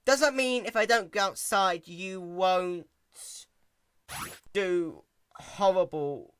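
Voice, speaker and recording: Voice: mid-range voice, slow pace, fairly bright tone, slight background noise, pitched above the performer's own voice. Performer doing a stammering, meek young male pony. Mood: sheepish, fearful. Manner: stuttering, hesitant.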